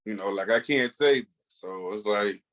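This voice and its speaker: A man with a fairly low voice talks casually as a podcast co-host. He is opinionated and emphatic, uses plenty of slang, and drifts into asides as he reviews. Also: moderate pace, a bit bright. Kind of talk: casual, opinionated comic book review chat.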